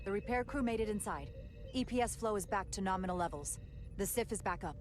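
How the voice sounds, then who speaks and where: An actress playing a confident, principled starship first officer who speaks firmly in a sci-fi game. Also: female voice, medium pitch, brisk, music in the background, close to an everyday voice. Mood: crisp, businesslike. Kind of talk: cinematic sci-fi game acting.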